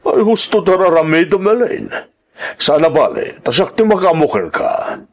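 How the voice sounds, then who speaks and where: A man playing a sympathetic but frank middle-aged uncle in a dramatic audio serial, talking in an animated, advising way. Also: on the high side, normal speed. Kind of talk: dramatic radio-style serial acting.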